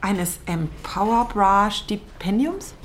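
Curious journalist in a radio play, polite, bit hesitant, gently doubting, faint noise behind. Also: female voice, medium pitch, expressive, slow. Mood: gently skeptical. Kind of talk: naturalistic radio drama dialogue.

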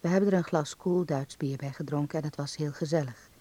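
An actor playing a young acting student calmly voicing a letter to his mother in a radio drama, measured and confiding. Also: fairly high pitch, lightly acted.

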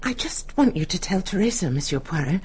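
A woman's voice: on the low side, very animated, a little fast. Nervous woman, noisy recording. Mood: anxious. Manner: anxious, hesitant. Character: nervous, timid married woman hiding something. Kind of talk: radio drama acting.

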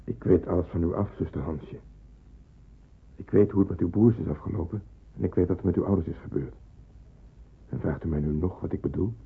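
A knowing, authoritative porter in a radio drama, speaks in terse, deliberate commands. His deep voice is very husky and rough. The old recording sounds thin, like a phone line. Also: exaggerated voice. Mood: grave, insistent. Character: male porter, grave, knowing, authoritative secret helper. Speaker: actor in a radio play. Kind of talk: Dutch radio play acting.

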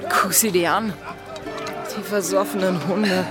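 A woman's voice: mid-range voice, steady tone, a little slow, over loud music, over a noisy crowd, natural voice. An actress playing a commanding, sardonic, impatient pirate woman in a German radio drama. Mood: wary, sardonic. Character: commanding, impatient young female pirate, sharp-tongued and wary.

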